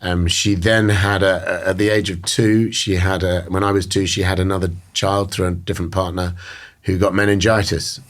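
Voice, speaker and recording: Deep-voiced British man, measured and thoughtful. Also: little pitch movement. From Britain. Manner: measured, thoughtful, many fillers and restarts. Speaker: guest. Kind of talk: reflective interview talk.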